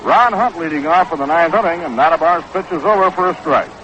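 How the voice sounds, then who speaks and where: A dull, noisy 1960s radio broadcast carries an American man doing baseball play-by-play. His voice sits a little high. He speaks in a measured, matter-of-fact way, taking his time between pitches. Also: bright, forceful tone. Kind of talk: 1960s live radio sports commentary. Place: ballpark broadcast booth.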